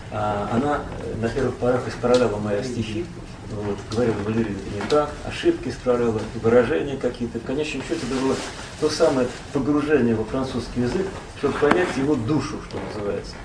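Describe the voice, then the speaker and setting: A man from Russia, a poet and translator, recalls his past as a guest in a relaxed, rambling conversation full of fillers and self-corrections. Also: medium pitch, fairly quick, echoey room, recorded from a distance, noisy background.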